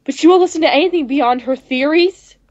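Female gaming co-host, highish pitch, dramatic read-aloud style, slightly lo-fi mic. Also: a little fast, darkish tone. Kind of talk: reading visual novel dialogue aloud, casual game chat.

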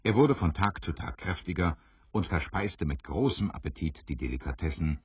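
Very deep, husky male narrator. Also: expressive, normal speed, dry recording, highs cut off. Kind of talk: scripted storybook narration for a radio play.

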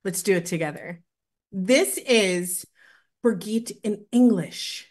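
A woman in her thirties hosting a webinar from home over a video call, presenting a product with excited, gushing talk and many fillers. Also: mid-range voice, slow pace. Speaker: webinar host. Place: home room, indoors. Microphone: video call webcam.

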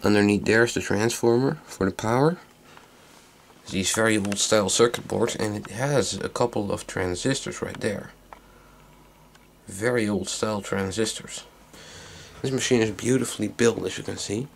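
A man giving a casual, unscripted hands-on demonstration in a low, creaky voice, recorded on a handheld camera microphone. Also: moderate pace, a little hiss or hum. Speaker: hobbyist video maker. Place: small indoor workroom.

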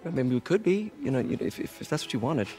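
An American man in his twenties playing an earnest, quippy young superhero in a game cutscene, halting, self-conscious and wry. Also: medium pitch, big pitch swings, mellow, soft background music, close to an everyday voice. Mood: awkward, hopeful. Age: 20s. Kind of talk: naturalistic video game cutscene acting.

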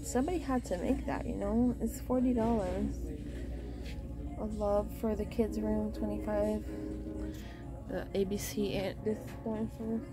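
A woman strolls through a big home goods store, chatting at an unhurried pace about what she finds and reading each price aloud. Her voice sits at an ordinary middle pitch. Music plays clearly underneath the recording. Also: fairly even intonation. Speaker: shopping vlogger. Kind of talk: casual shop-with-me narration.

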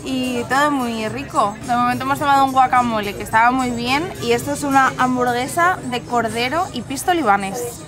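A young woman with a high voice and an accent from Spain presents a casual travel vlog. She talks to the camera as a vlogger, with music clearly playing behind her. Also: normal speed. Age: 20s.

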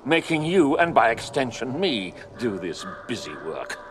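A man's voice: mid-range voice, big pitch swings, a little slow, a bit bright, slight background noise. An actor playing a haughty, impatient nobleman in a fantasy game, pompous and condescending. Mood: scornful. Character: haughty, impatient nobleman who sneers at inferiors. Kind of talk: fantasy game dialogue acting.

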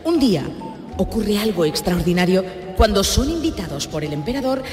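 Woman narrating a radio skit, very lively pitch, echoey, music behind. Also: normal speed. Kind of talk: scripted narration. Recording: reverberant hall effect, music underneath.

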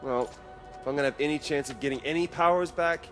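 An actor playing a headstrong young superhero, a cocky little brother who talks urgently and stammers in a video game. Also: male voice, a little high, fast talker, over music, lightly acted. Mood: determined. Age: young adult. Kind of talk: cinematic video game acting.

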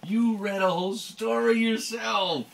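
A man chatting softly with a child at bedtime, murmuring encouragement as the child reads. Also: high voice, fairly even intonation, measured pace, gravelly. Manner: encouraging, soft murmured acknowledgements. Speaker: father listening to his child read. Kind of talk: casual bedtime chat with a child. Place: small bedroom, indoors. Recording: noisy amateur home recording.

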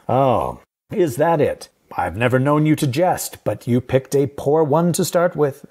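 An actor playing a guarded, haughty guardian who speaks formally and curtly in an audio drama. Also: male voice, mid-range voice, animated, takes it slowly, darkish tone. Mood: scornful. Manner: formal, curt, dry.

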